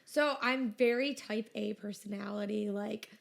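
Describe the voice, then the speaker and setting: A woman's voice: medium pitch, unhurried, creaky. A woman in her twenties, a business owner, chatting casually as a podcast guest and filling her talk with "like" and "you know". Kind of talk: casual interview chat.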